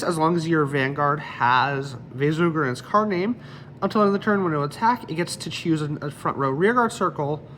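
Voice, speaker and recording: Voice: mid-range voice, slight background noise. Card game reviewer with a slight cold. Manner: explanatory, walking through card effects. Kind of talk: casual deck walkthrough.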